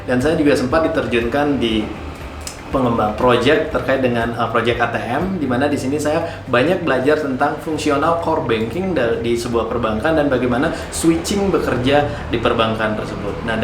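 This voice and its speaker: A man answers interview questions as a startup founder. He speaks in an earnest, measured way at a medium pitch. His voice carries clear room echo, and music plays behind it. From Bali, Indonesia.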